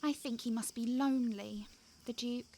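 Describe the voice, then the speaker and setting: A woman's voice: on the high side, fairly even intonation, moderate pace, warm tone, husky. A young actress in an audio drama playing an eager, innocent and imperious noblewoman, speaking in urgent commands and questions. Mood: tender, concerned.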